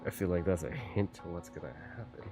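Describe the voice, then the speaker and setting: A man's voice: low voice, lively intonation, brisk, mellow, soft background music. A man giving casual reaction commentary on a game story, thinking aloud and rephrasing as he theorizes.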